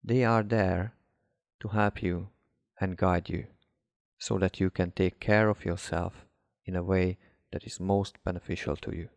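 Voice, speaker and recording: A man reading a guided hypnosis relaxation script as a hypnotherapist, in a measured, instructive way with deliberate pauses. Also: sits low, fairly even intonation, dry recording. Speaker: hypnotherapist guiding a session.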